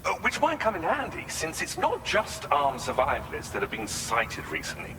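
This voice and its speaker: Animated, erudite game researcher. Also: male voice, on the high side, moderate pace, big-room sound, noisy background. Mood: concerned. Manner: formal, articulate, erudite phrasing. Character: courteous, eager male vehicle and weapons researcher. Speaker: researcher character. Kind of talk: video game cutscene dialogue.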